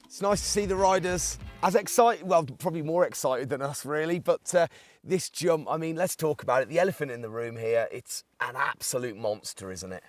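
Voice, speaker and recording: A man's voice: a little high, brisk, faint music behind. A man presenting a live ski event from a studio, chatty and upbeat, leading the questions. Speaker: studio host.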